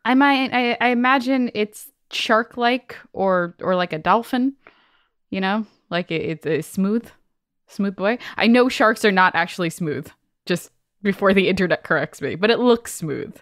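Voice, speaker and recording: A woman's voice: medium pitch, expressive, measured pace, no room sound, studio quality. A woman co-hosting a podcast, chatting casually and reacting to what she hears. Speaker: podcast co-host.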